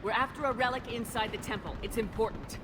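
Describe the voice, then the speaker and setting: A woman's voice: on the high side, fast, close to an everyday voice. A woman playing a resolute, persuasive military commander in a video game. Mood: firm, persuasive. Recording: loud battle noise, gunfire behind.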